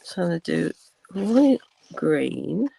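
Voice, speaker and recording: An older woman with a croaky voice, chatting casually through a craft tutorial, rambling with many ums and dropping to a whisper while she works. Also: lowish voice, big pitch swings, measured pace, dark tone, slight background noise. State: croaky from cold weather. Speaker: crafting channel host.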